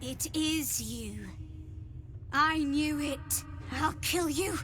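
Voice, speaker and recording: Starving vampire girl prisoner, anguished game acting, really high, sharp and halting. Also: heavily acted. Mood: anguished rage. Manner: halting, fragmented, strained. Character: starving captive young female vampire spawn, anguished and confused. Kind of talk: dramatic video game acting.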